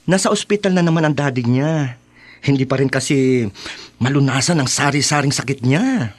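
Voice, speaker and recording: An actress in a Philippine radio drama playing a chummy, gossipy young woman with a husky voice. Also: rumbling low, normal speed. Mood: gossipy, teasing. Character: gossipy, chummy young female friend, eager informant.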